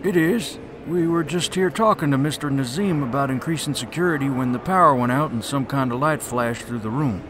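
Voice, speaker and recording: A man's voice: medium pitch, brisk, hardly any pauses, music in the background, close to an everyday voice. A man playing a matter-of-fact museum security guard in an audio drama, plain, casual and businesslike. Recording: clear ambient noise behind.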